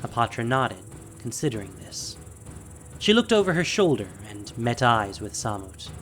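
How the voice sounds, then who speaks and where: A male narrator reads a scripted fantasy story dramatically. His medium-pitched voice moves with animated intonation. Faint music plays beneath the narration. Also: normal speed.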